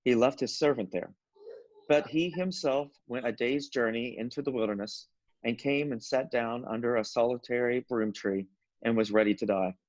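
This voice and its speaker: A man of average pitch narrates scripture from a script. The recording is very dry and a little dull. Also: normal speed, darkish tone, dry recording.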